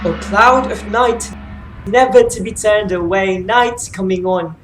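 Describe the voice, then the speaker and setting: A woman's voice: medium pitch, unhurried, echoey room, faint music behind, natural voice. A woman playing an anguished, self-cursing blinded king in a dramatic reading of Greek tragedy.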